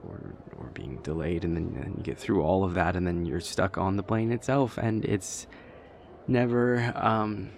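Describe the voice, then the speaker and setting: A man playing a nervous vampire boyfriend who is afraid of flying, speaking haltingly with stammers in an intimate audio roleplay. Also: deep voice, creaky, a little mellow, slight background noise. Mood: nervous, uneasy.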